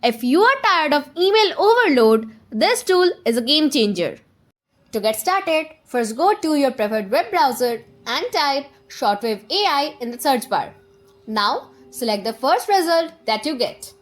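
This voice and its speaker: A woman presenting a scripted software tutorial, giving step-by-step instructions straight to the viewer. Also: high-pitched, sing-song, a little slow.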